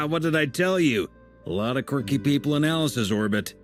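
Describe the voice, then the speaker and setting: Animated, easygoing game veteran man. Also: fairly high pitch, unhurried, faint music behind. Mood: wry, easygoing. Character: easygoing, confident veteran man, wryly good-humored. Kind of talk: video game story dialogue.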